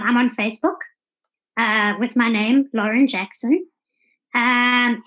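A South African woman talking casually as a podcast guest. Her voice is fairly high and husky, and the audio sounds a little dull and lo-fi. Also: fairly bright tone. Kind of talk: casual conversational interview answers.

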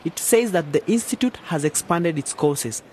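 A man's voice: on the high side, lively intonation, moderate pace, a little mellow, slight background noise, lightly acted. An actor playing a cheeky, sceptical young man in a radio drama, teasing and mock-dramatic. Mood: explaining.

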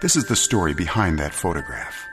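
A man narrating a story for a radio drama in a measured, theatrical way. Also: deep voice, sing-song, big-room sound, loud music behind the voice.

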